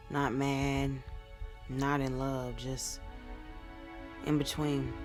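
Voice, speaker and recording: A woman playing a wounded, quietly resentful girlfriend in a poetic, deliberate dramatic monologue. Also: lowish voice. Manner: poetic, deliberate, emotional delivery. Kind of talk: dramatic spoken-word monologue. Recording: music playing behind.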